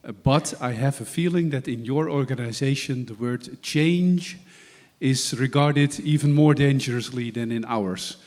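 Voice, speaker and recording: A man's voice: mid-range voice, measured pace, darkish tone. Male moderator with mid-range voice. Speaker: session moderator. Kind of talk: informal conference question moderation.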